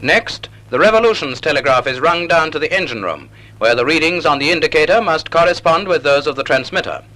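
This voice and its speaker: Bright-voiced man, 1940s instructional narration. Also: fairly high pitch, expressive, a little fast, poor audio. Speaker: narrator of a naval instructional film. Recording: 1940s film soundtrack.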